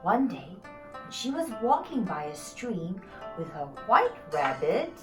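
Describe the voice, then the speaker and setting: Slow, highly animated woman storyteller. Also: recorded from a distance. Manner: expressive, theatrical. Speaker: storyteller, narrator. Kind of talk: dramatised scripted narration. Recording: echoey room, off mic, music behind.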